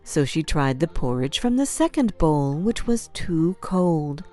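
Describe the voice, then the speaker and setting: A woman narrates fairy tales for children at an unhurried pace. Her scripted storytelling is expressive, with a soft mellow tone and lively rises and falls. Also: low voice, soft background music.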